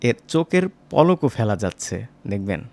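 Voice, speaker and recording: A man teaches software in a casual, informal way, speaking directly to viewers as a tutor. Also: on the low side, sing-song, normal speed, creaky. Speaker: animation tutor.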